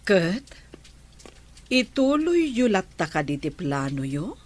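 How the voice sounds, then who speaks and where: An actress playing a sensible middle-aged friend who gives measured advice in a radio drama. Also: female voice, on the low side, very animated, full character voice. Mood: friendly, concerned.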